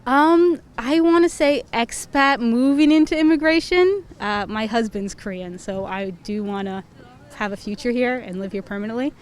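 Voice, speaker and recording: A woman's voice: fairly high pitch, moderate pace, clear, resonant tone. A woman from Virginia answering street interview questions in a bubbly, casual way. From Virginia, United States.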